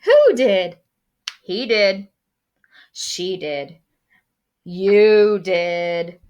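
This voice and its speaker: A woman voicing excitable, quarrelsome storybook prairie dogs with exclaiming delivery. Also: mid-range voice, drawn-out words, clean tone, highs cut off. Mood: puzzled, accusing.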